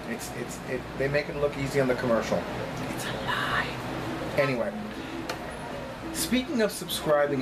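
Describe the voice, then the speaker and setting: A middle-aged man talking casually to camera as a vlogger, with deliberate, emphatic phrasing and frequent repetition. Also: mid-range voice, noisy background. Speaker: vlogger, psychic reader. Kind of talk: casual chatty monologue to camera. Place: small apartment room by a kitchen, indoors.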